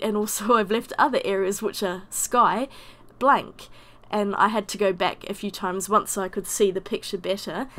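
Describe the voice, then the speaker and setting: A woman with a croaky voice from a summer cold, chattily narrating a watercolour painting voice-over. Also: mid-range voice, fairly bright tone. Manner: chatty, self-deprecating. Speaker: watercolour artist.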